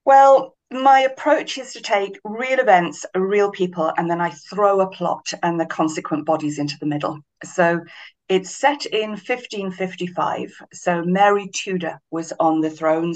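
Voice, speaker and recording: A middle-aged woman, a guest author in a podcast interview, telling her story in a lively, chatty way with frequent restarts. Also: on the low side, low-quality recording. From Wales.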